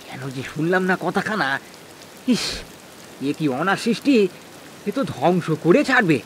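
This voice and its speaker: A man's voice: sits high, very animated, unhurried, slight background noise. A man voicing an anxious, stammering village farmer in a cartoon, with rustic speech. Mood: uneasy dismay. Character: anxious, hard-working village farmer, timid husband. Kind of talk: cartoon voice acting.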